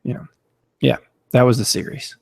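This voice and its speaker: A man's voice: medium pitch, fairly even intonation, moderate pace, vocal fry, a little mellow, husky. A man in his thirties from Florida, co-hosting a podcast and reminiscing in a chatty, casual way. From Florida, USA. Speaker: podcast co-host. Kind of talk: casual chat.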